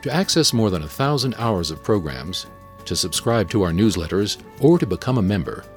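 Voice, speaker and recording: Man, radio announcer, low voice, lively pitch, faint music bed. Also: moderate pace. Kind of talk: scripted program outro.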